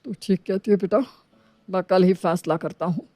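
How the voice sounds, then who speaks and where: A woman's voice: fairly low pitch, normal speed, mellow, no echo, lightly acted. A woman voicing an elderly father in a moral story, hurt and remorseful, pleading with emotion. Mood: guilty, sorrowful.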